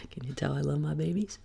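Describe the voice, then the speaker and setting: A woman hosting a soft-spoken ASMR chat, sick and sounding lower than usual, drifting between quiet speech and whispers in her home studio. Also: vocal fry, slight background noise. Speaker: ASMR channel host. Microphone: binaural desk microphone.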